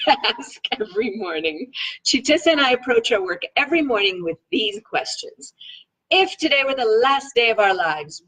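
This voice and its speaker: A woman with a slightly husky voice reading a self-help book aloud in an enthusiastic, expressive way, with casual, chatty asides. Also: a little high, rough recording. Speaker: reader of a self-help book read-along.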